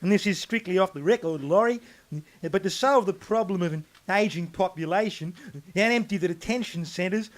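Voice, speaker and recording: A man's voice: sits high, moderate pace, natural voice. A man playing a smug, scheming older Australian prime minister in a satirical radio sketch, chummy, rambling and callous. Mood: self-justifying.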